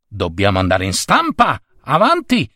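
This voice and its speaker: A man's voice: fairly low pitch, wildly swooping pitch, unhurried, sharp tone, no echo, clean studio sound, heavily acted. A man voicing a grumpy middle-aged newspaper foreman who growls his orders. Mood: gruff. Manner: growling, curt.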